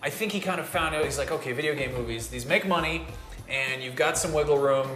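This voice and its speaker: A man with a slightly high voice debates casually as a panelist, animated and joking. He sounds roomy and echoey, with faint music behind him. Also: quick pace. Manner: animated, jokey, acts out imagined quotes. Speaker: panelist on a video game talk show. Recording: roomy echo, music underneath.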